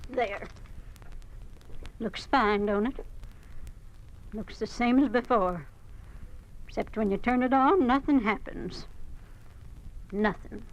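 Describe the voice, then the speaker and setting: An actress playing a protective, firm older farm wife, plain-spoken and homely, in an old-time radio drama. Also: female voice, a little high, slow, pauses often, highs cut off. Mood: defiant. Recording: noisy vintage radio drama recording.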